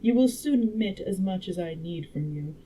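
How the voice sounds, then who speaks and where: A woman's voice: on the low side, animated, moderate pace, mellow, slight background noise, natural voice, poor audio. A woman playing a clever, assured female time traveler from a classic novel, lecturing in a precise, emphatic way. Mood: earnest lecturing. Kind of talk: acted reading of a classic novel.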